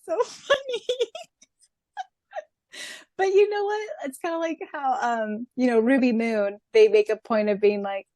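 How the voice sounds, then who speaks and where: A woman co-hosting a fan podcast in an informal, expressive, giggly way. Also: fairly high pitch, a little slow, long pauses, no echo. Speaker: podcast co-host. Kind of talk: casual fan podcast chat.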